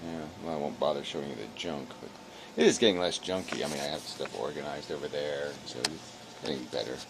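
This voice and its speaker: A man rambling casually in a weary, low-energy, self-deprecating way, halting and swearing as he talks to camera. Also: background noise. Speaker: video blogger.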